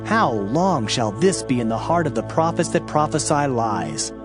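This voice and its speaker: A man's voice: mid-range voice, animated, moderate pace. A man narrating a dramatized King James scripture reading in a solemn, emphatic, declamatory manner. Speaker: audio Bible narrator. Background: background music.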